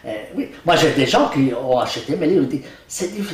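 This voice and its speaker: Brief: older man, Arabic native, lively up-and-down delivery, joking and hesitant, recorded at a distance in an echoey room. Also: on the high side, brisk. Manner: animated, joking, laughs often, many hesitations. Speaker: Quran translator being interviewed. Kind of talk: casual interview conversation. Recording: roomy, mic at a distance.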